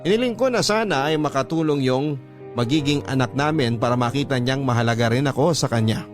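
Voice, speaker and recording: A man's voice: mid-range voice, fairly quick. A man with a Filipino accent reading a scripted first-person letter as a radio drama narrator. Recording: music under the narration.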